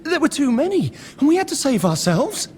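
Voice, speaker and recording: Anxious fantasy-game runaway, high lively voice, pleading, faint noise behind. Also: male voice, a little fast. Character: frightened, pleading male deserter hiding from monsters. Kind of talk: fantasy game character acting.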